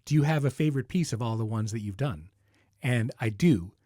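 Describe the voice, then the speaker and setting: A man's voice: mid-range voice, lively intonation, brisk, dark tone, no echo, studio quality. A middle-aged man hosting a podcast, speaking casually and wryly straight to listeners. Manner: conversational, wry, informal. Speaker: podcast host and creator. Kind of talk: casual podcast address, answering listener questions.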